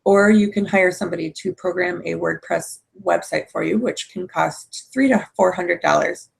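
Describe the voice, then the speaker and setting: A woman marketing coach giving a screen-shared online lesson, hoarse from a cold, explaining step by step with frequent fillers. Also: lowish voice, moderate pace. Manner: explanatory, many fillers and restarts. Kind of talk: webinar presentation.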